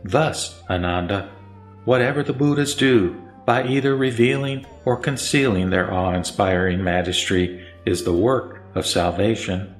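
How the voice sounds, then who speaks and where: A man acts as a serene Buddha instructing his disciple in a sutra audio drama. His low voice moves in solemn, measured phrases. It rings with echo over quiet music. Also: lightly acted. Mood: solemn teaching. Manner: solemn, measured sermon delivery.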